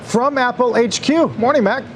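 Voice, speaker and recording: A man's voice: very high voice, normal speed. An American man anchoring a live business news broadcast and talking with a reporter.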